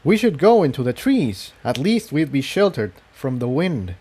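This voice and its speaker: A man voicing a cautious male unicorn pony, serious and gentle, in a storybook adventure. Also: medium pitch, sing-song, normal speed, husky, pitched above the performer's own voice. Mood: concerned.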